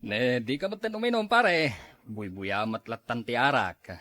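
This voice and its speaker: A man's voice: mid-range voice, expressive, unhurried, pushed and bright, slight background noise. A man playing an easygoing drinking buddy who gives frank advice in a radio drama, talking casually. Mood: casual, advising.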